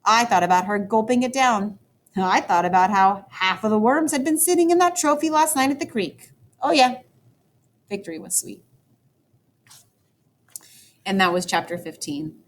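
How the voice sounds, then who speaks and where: A woman teacher reading a children's story aloud, with expressive, dramatic emphasis for young listeners. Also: mid-range voice, moderate pace, pauses often. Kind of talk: animated children's book read-aloud.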